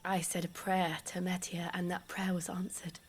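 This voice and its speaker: An actress playing a grave, tender young lady worn by suffering in a game, soft and often breathy. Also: fairly low pitch, little pitch movement, moderate pace, a little hiss or hum, natural voice. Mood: tender, quiet. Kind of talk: video game cutscene acting.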